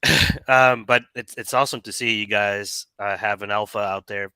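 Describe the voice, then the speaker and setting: A man hosting an interview who asks questions and encourages the guest, with a voice he says is going hoarse. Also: fairly low pitch, steady tone, normal speed, sharp tone, no room sound. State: losing his voice. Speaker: host. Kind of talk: casual interview.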